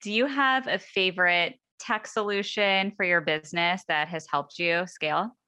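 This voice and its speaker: A woman hosting a casual question-and-answer interview. Her voice sits slightly low for a woman yet has a bright, crisp edge, and she talks in an informal, conversational way. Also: normal speed. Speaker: interview host. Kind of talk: casual rapid-fire interview.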